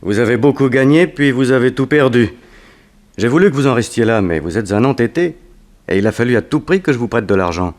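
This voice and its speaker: An actor playing a smooth-talking, menacing blackmailer with an urbane, insistent manner, in a 1960s French radio drama. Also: male voice, medium pitch, animated, fairly quick, natural voice. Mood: pressing, threatening. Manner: urbane, insistent, coolly mocking.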